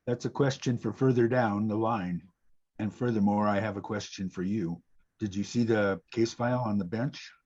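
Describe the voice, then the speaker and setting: Mysterious payphone villain man acting, husky and menacing, formal delivery, muffled online-call audio. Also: lowish voice, steady tone, a little mellow. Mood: smug, taunting. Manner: formal, deliberate, evasive. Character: mysterious male blackmailer calling from a payphone, smug, menacing. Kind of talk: dramatic table read of a screenplay.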